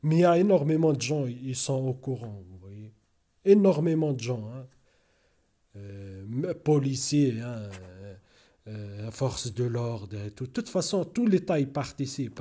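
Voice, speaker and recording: A slow-talking man with a dark, mellow voice speaks French as a second language in a casual monologue to his viewers. He rambles, repeats himself and keeps stalling on fillers while he searches for words. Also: medium pitch. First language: not French. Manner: rambling, repeats "vous voyez" often; hesitant, many fillers and restarts. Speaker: YouTuber.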